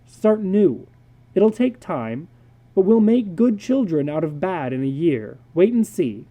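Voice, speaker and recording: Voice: mid-range voice, big pitch swings, a little slow, highs cut off, pitched above the performer's own voice. A reader voicing a blunt, worldly male psychologist in a dramatic story reading, speaking emphatically and with dry amusement. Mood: emphatic, admonishing.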